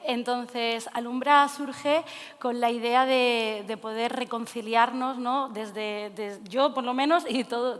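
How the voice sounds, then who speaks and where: A woman with an accent from Spain giving an informal conference talk in an echoey hall, conversational and halting, often adding tag questions. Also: on the high side. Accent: Spanish from Spain. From Castilla-La Mancha, Spain. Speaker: speaker presenting a cultural project. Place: forum hall. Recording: echoey hall with long reverb.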